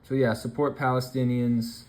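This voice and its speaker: A man's voice: mid-range voice, level tone, moderate pace, darkish tone, a little hiss or hum, low-quality recording. A man from Alaska hosting a stream, commenting casually and offhandedly as he browses posts. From Alaska, United States. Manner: loose, offhand, rambling. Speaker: streamer host. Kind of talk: casual commentary on screen content.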